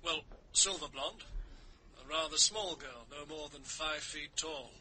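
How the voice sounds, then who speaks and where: An actor playing a carefully probing police inspector over a telephone in a radio drama. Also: male voice, fairly high pitch, unhurried, sharp tone. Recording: slightly noisy telephone line.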